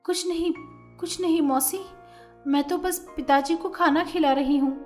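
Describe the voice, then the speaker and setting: A woman voicing a meek, obedient young stepdaughter who pleads softly. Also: sits high, steady tone, normal speed, faint music behind, voice pushed up, close to an everyday voice. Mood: timid. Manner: timid, pleading, soft.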